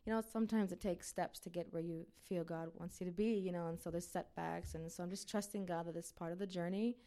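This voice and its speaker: A woman's voice: on the low side, steady tone, quick pace, mellow. A woman from Uzbekistan, a missionary, talking earnestly and casually to a church group with many fillers. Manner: earnest, chatty, fillers like "you know".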